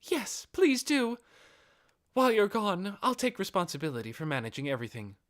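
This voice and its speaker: Beautiful guardian captain, voiced by a man: high, courteous, earnest and devoted servant for fantasy audiobook. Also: sing-song, moderate pace, a bit bright. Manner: polite, restrained, deferential. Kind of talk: audiobook character acting.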